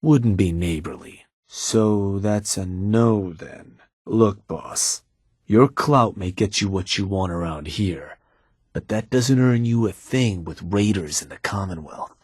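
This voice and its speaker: An actor playing a shrewd, calm male raider lieutenant in a game, speaking in a dry, calculating drawl. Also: on the low side, takes it slowly, slightly rough, no echo. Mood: scheming. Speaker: game character. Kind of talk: game voice lines.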